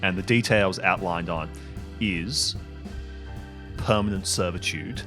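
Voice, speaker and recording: A man working as game master, casually improvising narration for a tabletop game in a halting, thinking-aloud way. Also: sits low, sharp tone. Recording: music behind the voice.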